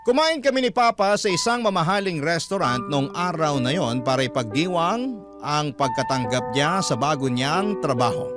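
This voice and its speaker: A man with a Filipino accent narrating a scripted first-person story as a sentimental radio drama storyteller. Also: fairly high pitch.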